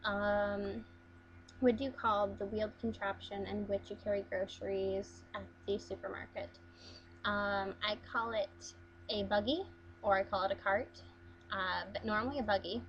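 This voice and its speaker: A woman's voice: medium pitch, fairly even intonation, normal speed, dull audio, a little hiss or hum. A young woman from Las Vegas chatting casually through an accent challenge, hesitant with frequent ums and restarts. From Las Vegas, USA. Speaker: vlogger.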